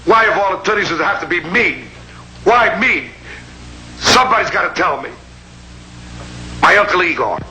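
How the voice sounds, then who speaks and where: A dim-witted patrol cop with a gravelly, rather high voice that jumps all over the place. He pleads and frets in an excitable, earnest way. The sound is an old, hissy TV soundtrack. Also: normal speed, long pauses, exaggerated voice, low-quality recording. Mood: dejected. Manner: excitable and pleading, swings from eager to crestfallen. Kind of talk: 1960s sitcom acting.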